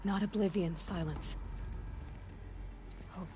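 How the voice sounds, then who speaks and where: Slow-speaking, earnest young heroine. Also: female voice, lowish voice, steady tone, background noise. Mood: solemn, hopeful. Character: earnest, determined young female hero. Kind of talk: dramatic video game acting.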